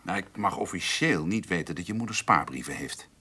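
A man's voice: deep voice, lively intonation, a little fast, sharp tone, slightly rough, heavily acted. An actor playing a shifty, evasive male notary in a radio play, hesitant and insinuating as he guards his reputation. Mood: discreet, probing. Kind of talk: radio drama dialogue.

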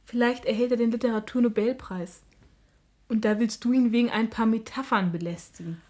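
An actress playing a headstrong, infatuated young daughter who protests earnestly in a radio drama. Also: female voice, a little high, brisk, darkish tone, natural voice. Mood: defiant. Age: young adult. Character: defiant, infatuated young daughter standing up to her mother.

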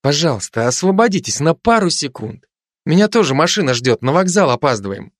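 A man's voice: fairly high pitch, big pitch swings, dry recording, clean studio sound. A man voicing a cheerful young lieutenant who jokes with soldiers and nurses. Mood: polite. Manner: brisk, friendly.

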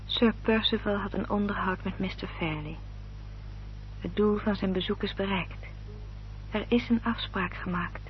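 An actress playing a determined, protective gentlewoman in a radio play, speaking in an articulate, formal way. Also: mid-range voice, unhurried, lightly acted. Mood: grave, troubled. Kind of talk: Dutch radio play acting. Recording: dull, noisy old radio recording.

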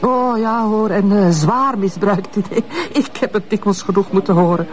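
A woman's voice: medium pitch, slight background noise, lightly acted. A woman playing a bitter, mocking Flemish ex-wife. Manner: mocking, insinuating, drawn-out. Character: bitter, sardonic middle-aged ex-wife. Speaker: witness, ex-wife. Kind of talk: radio drama acting.

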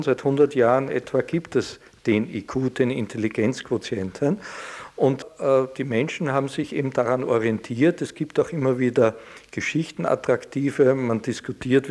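A man explaining things in an interview as an academic, with measured, formal wording. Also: mid-range voice, darkish tone.

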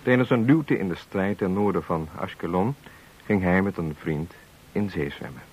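A man giving a formal, measured, solemn scripted introduction to a radio play as its announcer. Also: sits low, a little mellow, slightly rough. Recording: hissy old radio recording.

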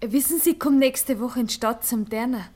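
An actress as a shy high-voiced young maiden. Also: female voice, moderate pace, a little mellow, lightly acted. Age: young adult. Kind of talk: German radio drama acting.

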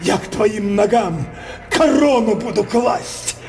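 An actor playing a brooding older king obsessed with his daughter, declaiming theatrical verse in a halting, impassioned manner for a radio play. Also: high-pitched, expressive, over music, exaggerated voice. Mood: feverish, pleading.

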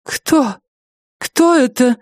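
A woman voicing a timid, high-strung older woman with a high, thin, trembling voice, shrieking in panic. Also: drawn-out words, a little mellow, no echo, clean studio sound. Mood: panicked shrill. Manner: shrieking, panicked, near tears. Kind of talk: audiobook character voice.

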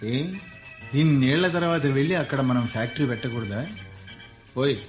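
A man's voice: mid-range voice, fairly quick, close to an everyday voice. Brief: an actor as a playful, commanding middle-aged businessman, old lo-fi radio play. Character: wistful middle-aged male industrialist. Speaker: lead character. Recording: muffled radio play with faint music.

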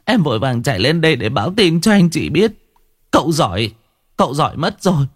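A man's voice: fairly high pitch, very animated, brisk, no echo, studio quality, pitched above the performer's own voice. A man voicing a distraught young woman who stammers out news of a death in the family. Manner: stammering, tearful.

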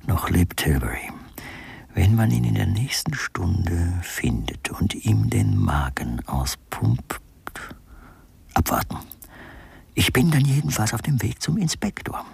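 An actor playing a coldly methodical, mocking murderer in a radio crime drama, speaking deliberately and insinuatingly, often dropping to a murmur. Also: male voice, fairly low pitch, big pitch swings, vocal fry, a little mellow, breathy edge, dry recording, exaggerated voice. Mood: murmured, calculating. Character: coldly methodical murderer, American writer husband, mocking and controlled. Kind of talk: German radio crime drama acting with interior monologue.

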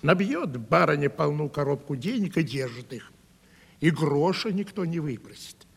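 An actor in a 1970s Russian radio play as a sly, gossipy manservant, teasing and insinuating in a folksy way. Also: male voice, fairly high pitch, sing-song, moderate pace, vocal fry, slightly rough, long reverb tail, exaggerated voice. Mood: grumbling, gossipy. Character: sly, gossipy, truth-telling male manservant, needling and folksy.

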